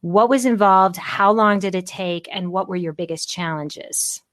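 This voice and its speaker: A woman in her fifties hosts a relaxed interview, warmly encouraging her guest and asking long, winding questions. Her voice sits in an ordinary middle range, recorded close and dry. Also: mid-range voice, unhurried, no room sound. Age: 50s. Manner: warm and encouraging, long rambling questions, laughs often. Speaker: podcast host. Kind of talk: casual interview conversation.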